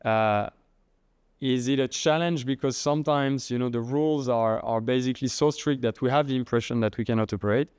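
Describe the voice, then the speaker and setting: Middle-aged man with a French accent, podcast guest, smooth resonant voice, dry close sound, restarts his phrases often. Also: mid-range voice, a little mellow, no echo. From France. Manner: halting, many restarts and repeated words. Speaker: guest, head of treasury and trading. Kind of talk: podcast interview.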